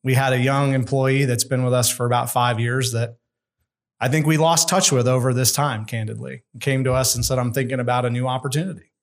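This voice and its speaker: A middle-aged man, a construction executive, speaking in a measured, candid and thoughtful way as a guest in an interview. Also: medium pitch, steady tone. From United States. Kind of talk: conversational interview.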